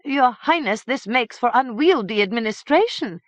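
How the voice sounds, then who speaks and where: An audiobook narrator voicing a sly, scheming priest who talks in a smooth, ingratiating way. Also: mid-range voice, lively intonation, moderate pace, dry recording, voice pushed up, close to an everyday voice. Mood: alarmed. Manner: smooth, ingratiating, bleating when alarmed.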